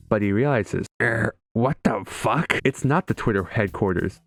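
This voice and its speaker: A man who makes gaming videos, narrating and bantering in an irreverent, sweary, joking way. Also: fairly low pitch. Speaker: gaming video creator and streamer. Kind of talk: scripted video narration mixed with casual stream banter. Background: music behind the voice.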